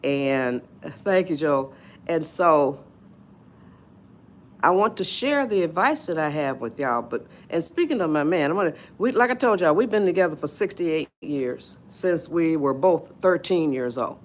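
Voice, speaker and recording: Chatty eighty-one-year-old woman, unhurried. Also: sits low, expressive, slight background noise. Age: 80s. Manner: chatty, rambling, laughs often, greets viewers. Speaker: livestream host. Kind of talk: casual live-stream chat.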